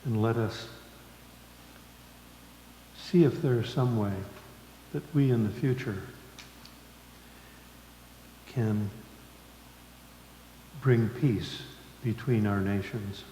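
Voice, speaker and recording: A man leading worship in a solemn sermon style, speaking deliberately in short phrases with long pauses. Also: lowish voice, dark tone. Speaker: worship leader. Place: large congregation hall. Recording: echoey reverberant hall with background noise.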